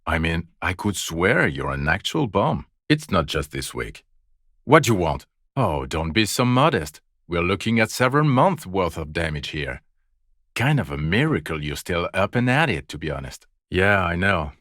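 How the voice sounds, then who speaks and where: An actor playing a world-weary, fed-up police detective in a video game, profane and sneering, with deadpan sarcasm. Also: male voice, deep voice, normal speed, no room sound, clean studio sound, full character voice. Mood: dry mockery.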